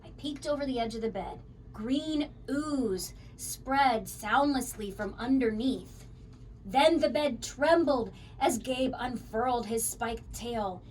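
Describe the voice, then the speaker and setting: A woman reading a children's story aloud in an expressive, dramatic way. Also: a little high, slow pace, distant mic, slight background noise, rough recording. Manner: animated, dramatic. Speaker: storybook reader.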